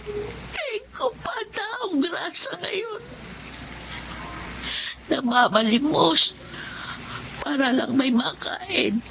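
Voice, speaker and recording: Slow, remorseful older woman. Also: sits high, animated, gravelly, exaggerated voice. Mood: anguished, pleading. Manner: plaintive, halting, heavily emotional. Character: remorseful older woman, ruined eatery owner turned beggar. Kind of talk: Filipino radio drama acting. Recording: dull lo-fi audio with noise.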